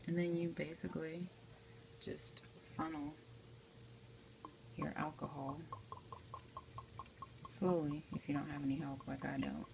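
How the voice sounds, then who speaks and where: Low, gravelly-voiced woman, casual tutorial. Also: level tone, a little slow, long pauses, a little mellow. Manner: informal, checks in often with "okay". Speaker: amateur how-to video maker. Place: home kitchen, indoors at the stove. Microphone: handheld phone behind the camera. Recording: noisy handheld home recording, clicks.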